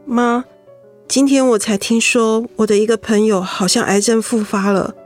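An actress in a Mandarin radio drama playing a vulnerable young wife, earnest, emotional and confiding. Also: female voice, on the high side, steady tone, moderate pace, faint music behind, lightly acted. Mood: anxious, tearful. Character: vulnerable young wife holding back tears after illness.

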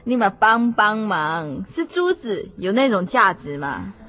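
A woman's voice: mid-range voice, sing-song, a little slow, a bit bright, exaggerated voice. A woman playing an exasperated, practical wife in a radio drama, incredulous and colloquial, with a Taiwanese Mandarin accent. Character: exasperated, practical wife scolding and pleading with husband.

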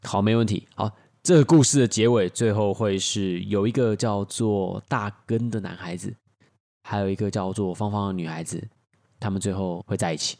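A man's voice: on the low side, normal speed, darkish tone. A man co-hosting a podcast, casually improvising a story and bantering with friends.

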